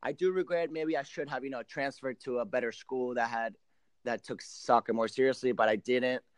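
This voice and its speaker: Smooth, dry-sounding young Argentine-born man. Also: medium pitch, steady tone, normal speed, no room sound. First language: Spanish. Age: late twenties. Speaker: professional soccer player, podcast guest. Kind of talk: casual interview storytelling.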